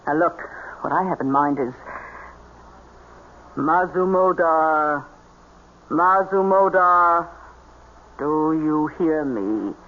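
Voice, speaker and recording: A woman's voice: low voice, takes it slowly, pauses often. An actress playing a bossy, scheming female con artist with folksy, commanding speech in an old-time radio drama. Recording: dull, noisy old radio recording.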